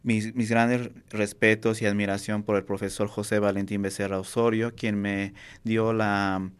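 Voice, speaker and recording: A young man whose first language is Nahuatl, speaking in a low, steady, smooth voice with little rise and fall. He answers earnestly and pauses often, filling gaps and restarting phrases as he goes. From Mexico. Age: young adult. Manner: earnest, halting with many fillers and restarts. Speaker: Nahuatl workshop teacher and university student. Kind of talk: interview answers.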